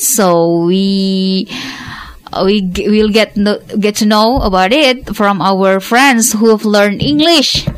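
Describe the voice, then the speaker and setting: A woman's voice: medium pitch, dry recording. A woman hosting a radio talk show, repeating and rephrasing her questions.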